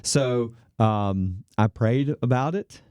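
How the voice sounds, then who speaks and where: A man in his fifties telling a personal story in casual conversation, animated and self-deprecating, laughing often and acting out the voices of the people he quotes. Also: mid-range voice, takes it slowly, clear, resonant tone, no room sound. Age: 50s.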